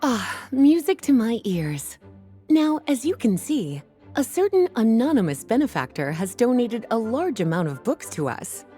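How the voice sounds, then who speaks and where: A woman's voice: fairly high pitch, very animated, unhurried, warm tone. A woman playing a playful, sultry librarian in a video game, speaking in a coy, teasing manner. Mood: amused, relaxed. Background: music.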